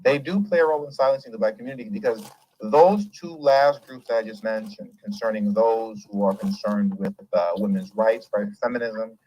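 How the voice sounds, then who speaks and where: A man hosting a casual commentary show, talking in an animated, emphatic and rambling way and laughing often. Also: on the low side, fairly quick, a little hiss or hum, poor audio. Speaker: host.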